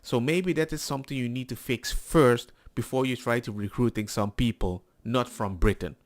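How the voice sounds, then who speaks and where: A man's voice: medium pitch, moderate pace. A man hosting reaction commentary, talking with sarcasm and mocking asides. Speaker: commentary channel host.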